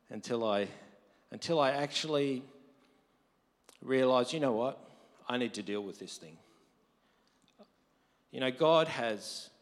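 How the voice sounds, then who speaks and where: A married man preaching a conversational sermon, his voice deep from lack of sleep, rising to emphatic quotes. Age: adult, married. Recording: long hall reverb.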